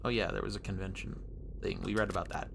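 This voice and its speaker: A man's voice: sits low, fairly even intonation, fast, vocal fry, noisy background. A man giving casual playthrough commentary as a game streamer, playfully musing aloud as he goes. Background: game music.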